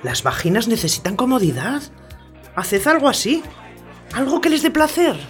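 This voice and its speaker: A woman's voice: on the high side, big pitch swings, moderate pace, faint music behind. A woman playing a bold, sensual member of a bawdy gathering in comic audio theatre, emphatic and colloquial. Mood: assertive. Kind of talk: comic ensemble audio theatre, chatty banter.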